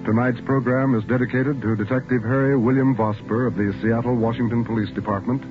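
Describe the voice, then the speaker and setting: A man's voice: mid-range voice, steady tone, fairly quick. An American man giving solemn, scripted announcements in 1940s radio style. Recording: muffled 1940s radio broadcast.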